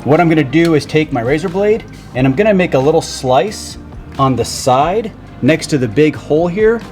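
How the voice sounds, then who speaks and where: Man, slightly high voice, casual how-to vlog, plain-spoken instructions, faint background music. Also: animated, moderate pace, a little mellow. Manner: informal, plain-spoken step-by-step explaining. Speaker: vlog host. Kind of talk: casual hands-on how-to talk.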